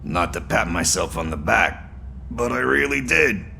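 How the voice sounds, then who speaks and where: Gravelly, wisecracking cop sidekick. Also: male voice, on the low side, lively intonation, unhurried, pushed and bright, a little hiss or hum, full character voice. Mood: weary, joking. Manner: wry, self-satisfied banter. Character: cocky, wisecracking but weary male rookie cop partner. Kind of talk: video game cutscene acting.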